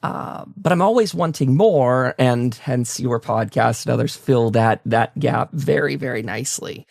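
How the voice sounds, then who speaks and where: A man's voice: mid-range voice, unhurried, vocal fry, darkish tone, no room sound, studio quality. A man hosting a conversational interview, asking questions in a polite, roundabout way. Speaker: talk show host.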